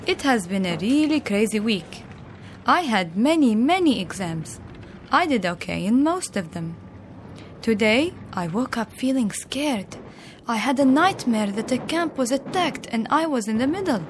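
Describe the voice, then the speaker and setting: A woman's voice: fairly high pitch, sing-song, a little slow, a little hiss or hum. Hopeful teenage girl reading her diary. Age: teens. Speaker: student blogger. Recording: with background music.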